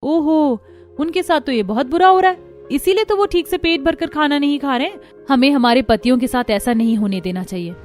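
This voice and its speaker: A woman's voice: sits high, fairly quick, a little mellow, faint music behind, close to an everyday voice. A woman voicing a caring but indignant young wife in a cartoon, earnest and reproachful. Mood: concerned.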